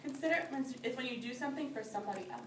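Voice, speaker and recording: A high-pitched woman reads a children's story aloud in the manner of a classroom teacher, stopping to explain it simply. She is some way from the microphone in a roomy, echoing space, with a little background noise. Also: expressive, rapid-fire, far from the mic. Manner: simple explanatory phrasing, addressing small children. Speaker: teacher reading a picture book aloud to young children. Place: indoor classroom.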